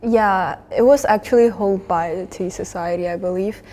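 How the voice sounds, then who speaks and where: A woman's voice: medium pitch, normal speed, mellow, long reverb tail. A woman in her early twenties from Sweden, talking casually as a student guest on a panel. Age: early 20s. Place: large indoor cafe-like room. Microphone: lapel.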